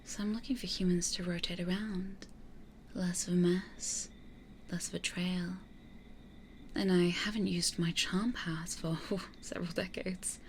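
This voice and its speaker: A woman playing a weary, wary vampire in a hushed, dryly grumbling ASMR roleplay whisper. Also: lowish voice, fairly even intonation, unhurried, a little hiss or hum. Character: weary, wary female vampire with charm powers. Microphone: close studio condenser or binaural mic.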